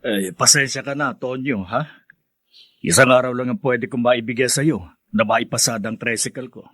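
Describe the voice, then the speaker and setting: A hardworking, apologetic friend in a radio play, acted by a man. His voice is medium in pitch with a dry studio sound, and he is eager to help. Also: moderate pace, fairly bright tone, no echo, close to an everyday voice. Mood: apologetic, supportive. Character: apologetic, helpful hardworking tricycle-driver friend. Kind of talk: radio drama acting.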